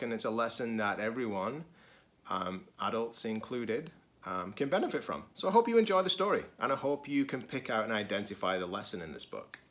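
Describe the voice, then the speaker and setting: A man's voice: medium pitch, bright, forceful tone. A man speaking as a teacher-librarian in a children's read-aloud, explaining things expressively to young listeners.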